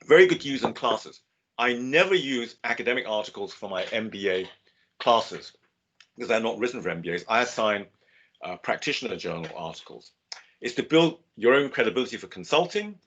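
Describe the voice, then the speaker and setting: An older man, a business professor, giving a measured webinar lecture with occasional fillers and restarts. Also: medium pitch, lively intonation, a bit bright, a little hiss or hum. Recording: dull lo-fi webinar audio.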